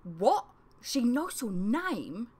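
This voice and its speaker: A woman's voice: fairly high pitch, sing-song, very slow, a little mellow, husky, pitched above the performer's own voice, exaggerated voice. Storybook best mate, performed by a woman: teenage boy, brash, disbelieving, punchy and blunt. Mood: incredulous, nosy. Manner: blunt, emphatic. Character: brash, incredulous teenage boy, the hero's friend.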